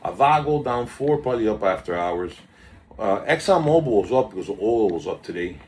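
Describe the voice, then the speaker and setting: A man with a lowish voice talks through the day's stock moves in a loose, rambling way, wandering off into small talk between tickers. It sounds like a casual market update recorded on a phone, with a faint hum of background noise. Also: expressive, fairly quick, a little mellow. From South Florida. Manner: chatty, drifts off topic, reads out stock tickers. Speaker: stock market video host.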